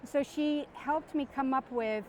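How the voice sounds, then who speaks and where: Chatty woman author, bright higher voice. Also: a little hiss or hum. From born in Guyana, raised abroad. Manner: chatty, quick bursts, self-correcting. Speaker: author guest. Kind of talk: casual interview chat. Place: parked van.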